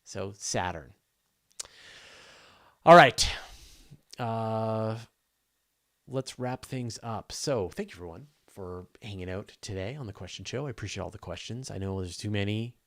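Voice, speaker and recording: A man's voice: lowish voice, measured pace, long pauses, fairly bright tone. A man hosting a live astronomy question show, chatting casually and off the cuff with informal enthusiasm.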